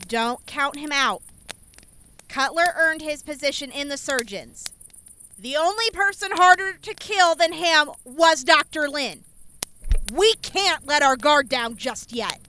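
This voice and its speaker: A woman's voice: high voice, animated, slow, sharp tone, a little hiss or hum, heavily acted. An actress playing a brash, blunt young woman in a fan audio drama. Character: Shoban, brash young woman, mouthy fighter.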